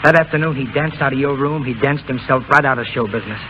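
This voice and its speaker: An actor playing a brash but soft-hearted burlesque show boss, slangy and harried, in 1930s radio style. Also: male voice, mid-range voice, fast, muffled recording, lightly acted. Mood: concerned. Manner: slangy, exasperated, urgent. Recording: noisy vintage 1930s radio broadcast.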